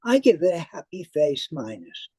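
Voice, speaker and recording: A woman theater critic reading a prepared review aloud in a measured, deliberate manner. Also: sits low, big pitch swings, mellow, breathy edge, no echo. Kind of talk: scripted review read aloud.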